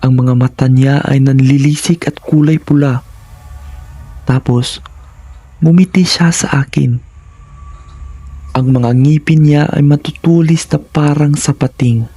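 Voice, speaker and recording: A man's voice: on the high side, fairly even intonation, a little slow, pauses often, dark tone, dry recording. A man narrating scripted first-person horror stories in a dramatic, suspenseful manner, in short phrases.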